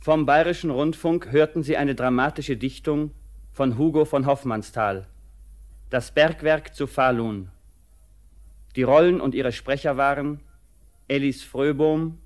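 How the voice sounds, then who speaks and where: Slow, formal male radio announcer. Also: mid-range voice. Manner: measured, formal. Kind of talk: formal broadcast cast announcement.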